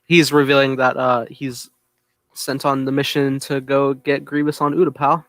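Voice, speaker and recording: A man co-hosting a podcast, giving a casual episode recap in a halting, hesitant way. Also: medium pitch, flat delivery, fairly bright tone. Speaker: podcast co-host.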